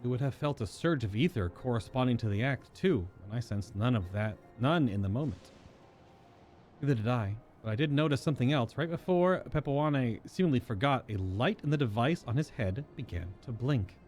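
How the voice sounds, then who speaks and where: A man's voice: mid-range voice, expressive, fairly quick, darkish tone. A man live-streaming a game, reading story dialogue aloud in character and now and then stumbling over invented names. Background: game music.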